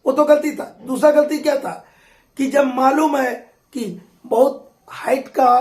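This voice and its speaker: A hoarse man, a mining exam tutor talking through old test questions in a conversational way and repeating key points. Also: extremely high pitch, dark tone, echoey room. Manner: conversational teacher, addresses students fondly, repeats key points. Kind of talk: explaining exam questions in an online class.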